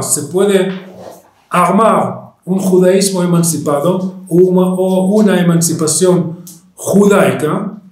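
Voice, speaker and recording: An Israeli historian with a Spanish accent picked up in Argentina, lecturing and pausing often with fillers and restarts. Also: male voice, high voice, darkish tone, roomy sound. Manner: hesitant, many fillers and restarts.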